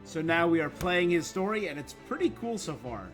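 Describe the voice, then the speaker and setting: A man's voice: on the high side, very animated, brisk, a bit bright. A man streaming a game, chatting casually in a rambling, opinionated way. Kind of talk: casual livestream chat. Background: game music.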